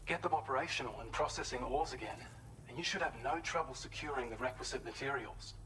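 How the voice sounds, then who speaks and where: An actor playing a calm male facility contact in a video game, speaking in a measured, formal, friendly way. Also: mid-range voice, a crowd in the background, natural voice, poor audio. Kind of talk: scripted game dialogue.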